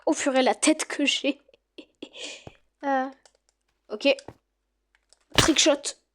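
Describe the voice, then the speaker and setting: A woman with a stuffy cold, chatting excitedly to her viewers as she plays and comments on a game. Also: high voice, drawn-out words. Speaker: gaming YouTuber. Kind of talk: casual gameplay commentary.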